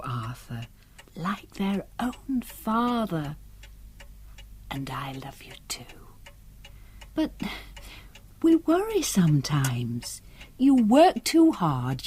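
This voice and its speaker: An actress playing a gentle, concerned wife, coaxing and tender, in a radio drama. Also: female voice, on the low side, big pitch swings, very slow, a little hiss or hum, exaggerated voice.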